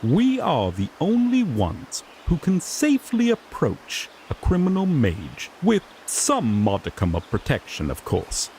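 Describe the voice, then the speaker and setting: Man playing a fussy griffon knight, wildly swooping pitch. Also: wildly swooping pitch, unhurried, few pauses, a little mellow, slight background noise, heavily acted. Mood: proud, explanatory. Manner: formal, fussy, explanatory, mildly exasperated. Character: sassy griffon knight, earnest rookie lawman making first arrest. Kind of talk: scripted fantasy roleplay audio drama.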